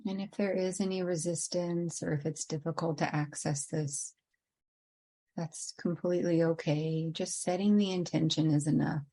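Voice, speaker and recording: A woman leading a guided meditation in an unhurried, gently inviting way with long pauses. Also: low-pitched, fairly even intonation, slightly rough.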